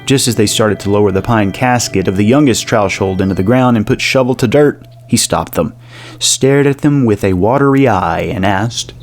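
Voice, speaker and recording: A man with a fairly low voice narrates a horror audio drama. His delivery is measured and dramatic, and music plays clearly behind him. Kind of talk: scripted horror story narration.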